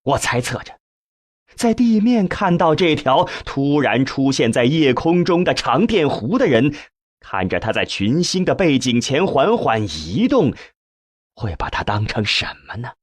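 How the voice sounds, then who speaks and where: A man narrating an audiobook in a scripted, dramatic storytelling style with measured, deliberate phrasing. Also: mid-range voice, very animated, dry recording.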